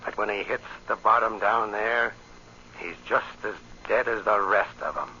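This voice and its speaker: A man's voice: lowish voice, moderate pace, pushed and bright. An actor playing a menacing American crime boss with sneering tough-guy slang, in old-time radio drama style. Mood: cold threat. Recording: muffled, hissy old radio recording.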